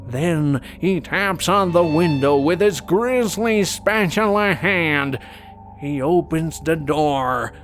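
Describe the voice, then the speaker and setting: A man voicing a droll, sour cartoon squid with drawn-out, dramatic storytelling. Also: a little high, fairly bright tone. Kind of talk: amateur cartoon voice acting.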